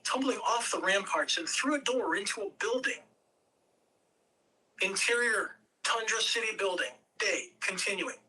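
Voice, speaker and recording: A woman narrates a script at a table read. Her voice is bright and mid-pitched, measured with the odd stumble. The microphone sounds some distance away. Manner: measured, occasional stumbles over words. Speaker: narrator reading stage directions.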